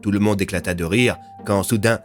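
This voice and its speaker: A man's voice: lowish voice, steady tone, fairly quick, faint music behind. A man narrating a scripted audio fiction as its storyteller.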